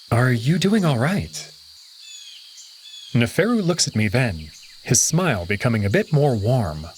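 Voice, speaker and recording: A man narrates a story in the first person, his mid-pitched voice rising and falling with lively animation. Faint insect chirping hums beneath him. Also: slight background noise. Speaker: visual novel reader.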